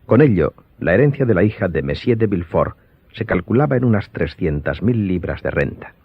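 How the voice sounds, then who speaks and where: Very deep-voiced male radio drama narrator. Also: sing-song, fairly quick, breathy edge, no echo. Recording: slightly dull audio, music behind.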